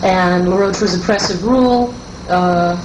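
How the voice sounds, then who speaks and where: Woman, game designer, measured and unhurried explainer in a round-table discussion; echoey, hissy cassette sound. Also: on the low side. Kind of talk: group interview discussion. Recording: noisy roomy 1982 cassette tape.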